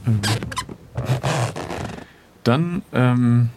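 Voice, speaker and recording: Creaky-voiced man playing an adventure game. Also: medium pitch, extremely slow, background noise. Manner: hesitant, thinking aloud. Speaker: player in an improvised adventure game. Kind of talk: casual improvised role-play, announcing moves.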